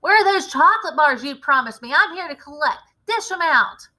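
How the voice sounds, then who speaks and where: A woman's voice: high-pitched, expressive, moderate pace, bright, forceful tone, pitched above the performer's own voice. A woman voicing a greedy, pushy little boy who speaks in a blunt, eager way, for a storybook. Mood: demanding.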